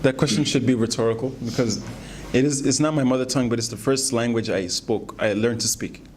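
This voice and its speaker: A man whose first language is Pular, an English teacher answering student questions in a relaxed, thinking-aloud way. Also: medium pitch, brisk, a little hiss or hum.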